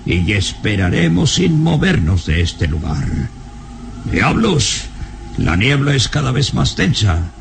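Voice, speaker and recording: An elderly professor in a radio serial, fretful and bossy, with a gravelly voice. His delivery swings widely in pitch as he hesitates and warns, over some background noise. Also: very animated, slow, heavily acted. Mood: fearful, suspicious. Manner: nervous, hesitant interjections, stern warnings. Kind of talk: radionovela acting.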